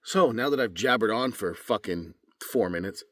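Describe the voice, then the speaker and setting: A man's voice: on the low side, lively intonation, normal speed, dry recording. A male voice actor talking casually while practicing accents, rambling and self-critical, with the odd casual swear.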